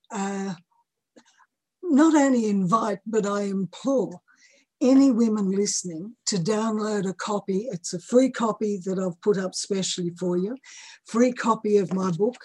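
An older woman in her seventies speaks as a guest author in a conversational interview. Her voice is husky and of middling pitch. She is emphatic and motivational, often repeating a key phrase for weight. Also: a little mellow, no room sound, low-quality recording. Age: early seventies.

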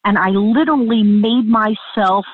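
A woman with a thick Long Island accent, telling stories as an interview guest, lively and laughing, over a tinny phone line. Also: mid-range voice, no room sound. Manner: lively, laughing, talks in quick bursts with restarts. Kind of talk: animated storytelling interview.

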